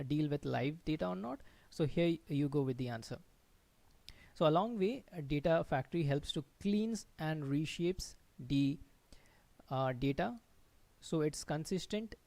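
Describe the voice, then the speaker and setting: A man's voice: on the high side, darkish tone. A man giving a live online technical lesson, explaining step by step with frequent fillers and restarts and checking in with his viewers. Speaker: livestream instructor. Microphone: computer mic, quiet at first then turned up.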